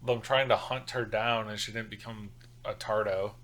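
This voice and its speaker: A man co-hosting a casual podcast, joking crudely and swearing freely in an animated way. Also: lowish voice, moderate pace. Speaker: podcast co-host.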